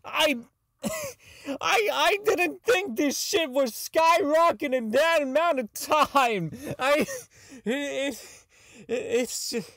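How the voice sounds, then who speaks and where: Man acting a high-voiced, bitter, unraveling engineer. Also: expressive, slow pace, fairly bright tone, dry recording, studio quality, full character voice. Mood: frantic, overwhelmed. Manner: rambling, stammering, profane, prone to outbursts. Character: socially inept, bitter male robotics engineer unraveling toward insanity. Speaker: voice actor in a fan-made audio drama. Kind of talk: dramatic monologue as a recorded log.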